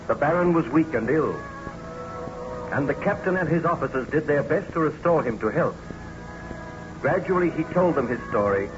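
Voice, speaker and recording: A man formally narrating a scripted old radio serial as its announcer. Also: medium pitch. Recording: muffled old radio recording. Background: loud music.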